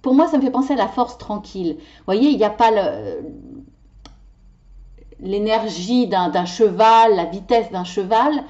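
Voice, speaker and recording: A woman's voice: mid-range voice, unhurried. Passionate woman reading tarot, dull sound. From Italian heritage. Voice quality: passionate, stumbles over words. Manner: animated, talks with her hands. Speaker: tarot card reader. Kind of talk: weekly card reading video.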